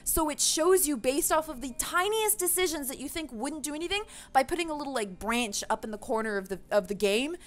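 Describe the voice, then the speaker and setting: A woman's voice: high-pitched, expressive, brisk, few pauses. A woman giving a casual, opinionated rant to viewers, passionate and emphatic, with loose swearing, as a gaming video creator.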